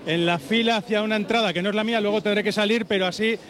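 A man's voice: sits high, steady tone, rapid-fire, fairly bright tone. A man with an accent from Spain reporting live for sports radio from a queue outside a stadium. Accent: Spanish, from Spain. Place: outdoors in a stadium entrance queue. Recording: outdoor stadium crowd noise. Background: crowd of fans.

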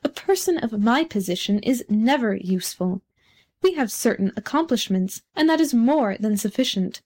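A woman's voice: a little high, animated, moderate pace, a little mellow, close to an everyday voice. A woman voicing a vain, haughty male firework rocket from a storybook, speaking in a pompous, condescending way. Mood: haughty, disdainful. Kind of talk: storybook character reading.

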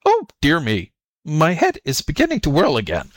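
A man voicing a sly storybook jackal who acts flustered and slow-witted, fretful and full of exclamations. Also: on the high side, huge pitch swings, no room sound, clean studio sound, pitched above the performer's own voice. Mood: flustered, feigned distress. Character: sly jackal feigning muddled, whimpering stupidity. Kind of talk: storybook character voice.

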